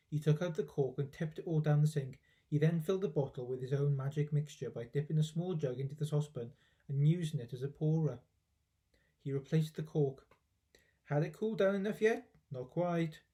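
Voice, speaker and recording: A man's voice: a little high, mellow. A man reading a children's storybook aloud expressively, with dramatic emphasis, like a teacher.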